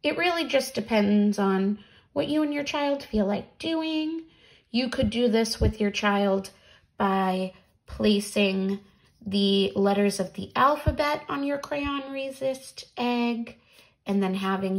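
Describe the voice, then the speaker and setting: Woman, thirties, slightly high pitch, slow pace, children's teacher, deliberate instructional delivery, home room. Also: poor audio. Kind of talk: instructional craft video and read-aloud for children. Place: small home room, indoors.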